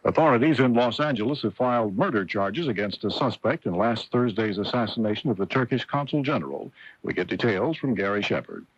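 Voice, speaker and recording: A man's voice: on the low side, lively intonation, brisk, slightly rough, dry recording. An American man reading a scripted radio newscast as anchor, heard on a dull old AM broadcast.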